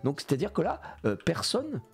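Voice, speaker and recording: A man's voice: a little high, normal speed, slightly rough, soft background music. Sniffly male streamer, jokey and swearing. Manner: animated, jokey, swears a lot, reads chat aloud. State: congested with a cold. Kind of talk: live gameplay stream chatting with viewers.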